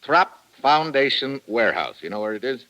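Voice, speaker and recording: An actor playing a suave, wry American foreign correspondent in an old-time radio drama. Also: male voice, mid-range voice, sing-song, normal speed, pushed and bright, poor audio. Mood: impatient. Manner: wry, casual, smooth-talking.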